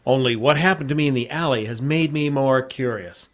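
An actor playing a tough, dogged reporter in an old radio drama, terse and insistent in narration and dialogue. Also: male voice, mid-range voice, a little fast, natural voice. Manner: terse, hard-boiled, insistent. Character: tough, dogged male newspaper reporter O'Hara.

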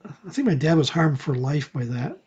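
A man chatting casually and telling personal stories in a wry, unhurried way. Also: fairly high pitch, animated, darkish tone, husky. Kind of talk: casual chat, personal storytelling. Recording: dull, muffled lo-fi sound.

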